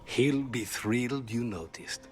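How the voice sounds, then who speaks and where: An actor playing a dry-witted, brooding assassin in a fantasy game, understated and deadpan. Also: male voice, medium pitch, slow pace, soft background music, close to an everyday voice. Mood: dry, wary.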